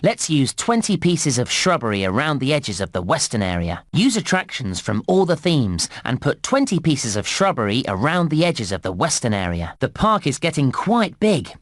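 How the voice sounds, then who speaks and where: A man voicing a cheery, eager theme park guide in a children's computer game, giving scripted instructions in an animated, encouraging manner. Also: mid-range voice, normal speed, few pauses, no echo, studio quality. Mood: upbeat, instructive. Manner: animated, clearly enunciated instructions, exclamatory.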